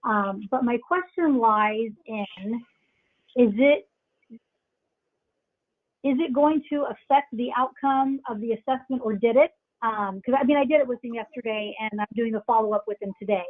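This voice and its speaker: A woman's voice: fairly high pitch, normal speed, no room sound. A woman calling in as a new business coach, chatty and slightly hesitant, with many ums. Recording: tinny phone-like line.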